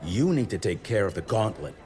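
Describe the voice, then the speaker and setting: An actor playing a gruff, seasoned male hunter who talks bluntly and steadily. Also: fairly low pitch, very animated, a little fast, dark tone. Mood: grave. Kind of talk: game cutscene acting. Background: music score.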